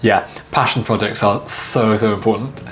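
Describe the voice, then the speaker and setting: A man's voice: on the low side, normal speed, a little hiss or hum, low-quality recording. A young man in his twenties who grew up in China, talking casually as an interview guest, often repeating words and filling with "like". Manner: relaxed, repeats words, many "like" fillers. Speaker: guest videographer.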